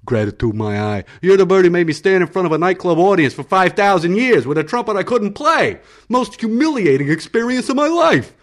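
A man voicing a gloating, scheming male character who boasts loudly and emphatically, in a read-aloud story. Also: medium pitch, sing-song, a little fast.

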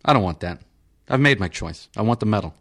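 A man reading an audiobook, voicing an irritable, restless male senator in his fifties who talks in sharp, demanding questions. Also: fairly low pitch, animated, quick pace. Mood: peevish.

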